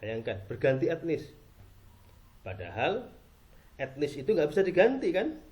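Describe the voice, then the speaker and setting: A man's voice: on the high side, big pitch swings, unhurried, a little mellow. A man from Java, giving an informal explanatory talk. He asks rhetorical questions and answers them himself. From Java, Indonesia. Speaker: speaker on Islam and culture.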